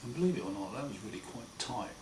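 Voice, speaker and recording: A man with a croaky, hoarse voice after throat surgery, talking slowly and wryly in a casual home video diary. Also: mid-range voice, lively intonation. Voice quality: hoarse, partly breathy. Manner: slow, wry, plain-spoken, often pausing. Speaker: patient sharing his recovery. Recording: roomy echo, far mic, noisy.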